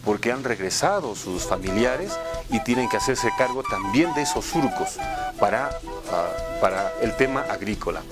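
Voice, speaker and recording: A man's voice: on the low side, sing-song, normal speed. A man with a Peruvian accent giving an explanatory spoken presentation, often repeating phrases as he goes. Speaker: radio administrator presenting a project. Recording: loud music behind the voice. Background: instrumental music.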